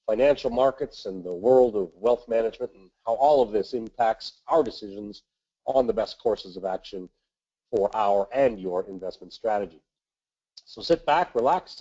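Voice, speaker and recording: A man's voice: mid-range voice, warm tone, dull audio. Nasal man with a cold. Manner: measured, reads from notes with filler uhs. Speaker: webinar host, investment manager. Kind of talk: scripted market commentary webinar.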